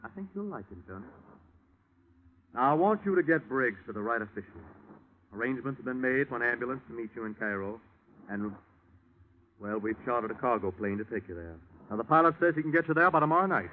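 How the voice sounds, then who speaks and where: An actor playing an earnest, persuasive chaplain with a measured manner, in 1940s radio style. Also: male voice, medium pitch, lively intonation, lightly acted. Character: earnest, persuasive male chaplain arranging a mission. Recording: tinny, hissy 1940s radio recording.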